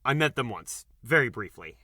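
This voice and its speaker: A man's voice: mid-range voice, expressive, normal speed, pushed and bright, no room sound, studio quality. An actor playing an earnest, anxious, naive man among prisoners in an audio drama, hesitant and reactive.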